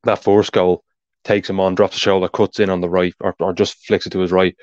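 Deep-voiced Irish podcast host. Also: male voice, little pitch movement, darkish tone, dry recording, dull audio. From Ireland. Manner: talks fast, explains tactics at length. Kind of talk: casual football podcast chat.

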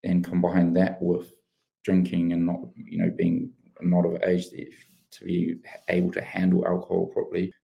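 A man's voice: deep voice, monotone, moderate pace, creaky, lo-fi sound. A man, a former rugby player, telling his life story earnestly in an interview. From New Zealand. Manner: earnest, open, many restarts and fillers. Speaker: podcast guest, former pro rugby player.

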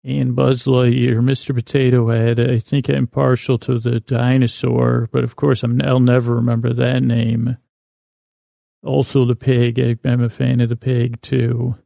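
An American man with a creaky voice hosting a bedtime podcast, rambling in a halting, meandering way with stammered false starts and self-interruptions. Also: medium pitch, flat delivery, mellow, no room sound, studio quality. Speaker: podcast host. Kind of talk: rambling bedtime monologue to help listeners sleep.

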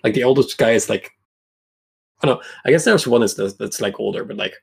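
Gravelly, husky man in his mid-twenties. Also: mid-range voice, a little fast. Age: mid-20s. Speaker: podcast guest, competitive card game player. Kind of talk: casual chat, personal anecdotes.

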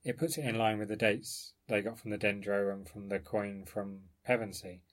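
A man's voice: fairly low pitch, fairly even intonation, normal speed. A man talking as an archaeologist in an informal academic discussion, explaining his research in a discursive, carefully qualified way.

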